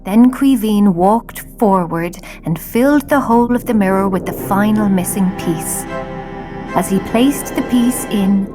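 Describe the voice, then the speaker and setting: A woman's voice: mid-range voice, a little slow. Female storybook narrator, mid-range voice, music underneath.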